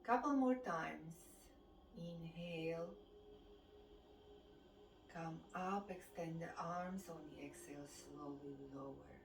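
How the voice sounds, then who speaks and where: Female exercise instructor, Romanian accent, measured and slow delivery of movement cues; roomy, off-mic sound with faint noise. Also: low voice, creaky. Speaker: fitness and qigong instructor. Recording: distant mic, echoey room, background noise.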